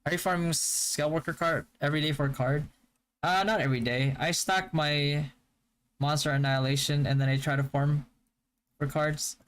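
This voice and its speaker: A young man from the Philippines whose first language is Hiligaynon, chatting casually on a live stream and answering viewer questions in a relaxed, stop-start way. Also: a little high, moderate pace. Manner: relaxed, stop-start, with frequent fillers and restarts. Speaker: game streamer.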